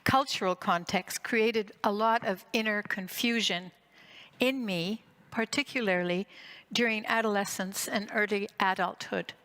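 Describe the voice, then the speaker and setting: Woman, 60s, raised between Greece and Canada. Conference speaker, psychotherapist; mid-pitched voice, deliberate phrasing, prepared personal lecture. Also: a bit bright. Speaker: psychotherapist giving a conference talk.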